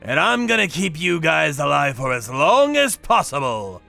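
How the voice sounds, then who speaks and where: A man voicing a grim, vengeful torturer who narrates his work in a manga dub with deliberate menace. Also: a little high, very animated, creaky, sharp tone, faint music behind, heavily acted. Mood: cold menace. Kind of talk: dramatic first-person manga dub narration.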